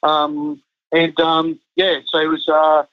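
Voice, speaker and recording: A man's voice: a little high, monotone, slow pace, clear, resonant tone, no echo. A man in his fifties, born in Chile, who coaches a national team, talking casually in an interview with many ums and restarts. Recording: muffled lo-fi dry sound.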